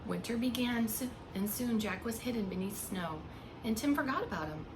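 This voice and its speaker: A woman reading a picture book aloud like a preschool teacher, with expressive, hushed emphasis and simple asides to small children. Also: a little high, moderate pace, noisy background. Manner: simple explanations and questions addressed to small children. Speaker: pre-K teacher.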